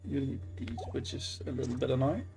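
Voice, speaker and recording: A man with a gravelly, creaky voice chats through a game. He is halting and mutters his thoughts aloud, with faint music behind him. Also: medium pitch, fairly even intonation, warm tone. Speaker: game streamer. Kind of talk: casual gameplay commentary.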